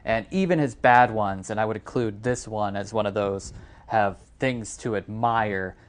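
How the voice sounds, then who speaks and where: A man's voice: lowish voice, unhurried. A man co-hosting a podcast, giving his opinions on films in a casual discussion. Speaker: podcast co-host reviewing films.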